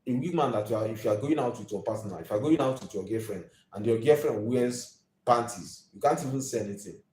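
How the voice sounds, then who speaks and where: A man's voice: medium pitch, quick pace, darkish tone, reverberant. A Nigerian man in his twenties hosting a live video, ranting emphatically and preachily and repeating his points. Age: 20s.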